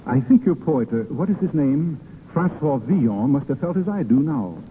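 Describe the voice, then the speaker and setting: A man's voice: on the high side, lively intonation, normal speed, phone-quality sound, a little hiss or hum, natural voice. A man acting an ardent, wry poet rogue posing as a courtly grand marshal in a theatrical old-time radio romance. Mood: ardent, wooing. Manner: courtly, hesitant, poetic phrasing. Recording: old band-limited radio broadcast with music.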